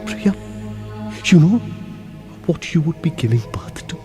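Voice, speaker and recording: An actor playing a young man from India with an Indian English accent, swinging between outbursts and hushed pleading in an audio drama. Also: fairly high pitch, expressive, a little slow, music in the background. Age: young adult. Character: young man Raj, pleading with old college love. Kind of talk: amateur audio drama acting.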